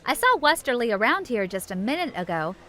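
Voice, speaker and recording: A woman's voice: on the high side, very animated, brisk, sharp tone, slight background noise. A woman playing an easygoing, diplomatic ranger sergeant in an audio drama, friendly and casual. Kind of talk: post-apocalyptic audio drama acting.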